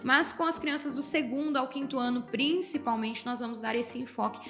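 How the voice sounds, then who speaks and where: A woman's voice: sits high, brisk, fairly bright tone, soft background music. A woman with a Brazilian Portuguese accent, hosting a school podcast and talking chattily, speaking directly to parents. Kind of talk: casual podcast conversation.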